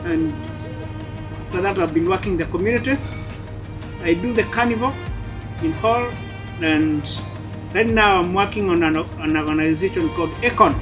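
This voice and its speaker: A high-voiced man introduces himself at a community gathering. He speaks in a chatty, explanatory way. Loud music plays in the background. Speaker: community advocate. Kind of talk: self-introduction at an event.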